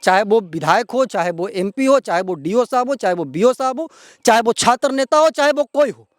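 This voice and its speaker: Male local news presenter, Bihari accent, very high voice, indignant and emphatic, dry sound. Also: lively intonation, brisk, no room sound. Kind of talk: outspoken news commentary to viewers.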